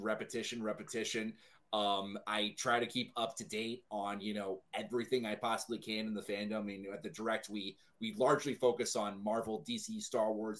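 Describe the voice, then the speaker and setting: Friendly man chatting, slightly low voice. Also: a bit bright. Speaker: podcast guest, entertainment news writer. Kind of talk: casual chat.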